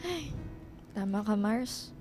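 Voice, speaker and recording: A woman's voice: medium pitch, animated, slow pace, a little mellow, faint music behind. A Filipino woman playing a dutiful young student and devoted daughter, earnest and polite but firm in a radio drama. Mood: earnest, troubled.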